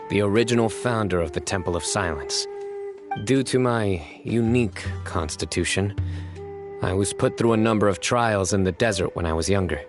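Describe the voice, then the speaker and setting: A grave, reserved young warrior from a fantasy game, played by a man who speaks in short, clipped lines. His voice sits low. A clear game score runs behind him. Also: natural voice. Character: stern young male desert warrior investigator.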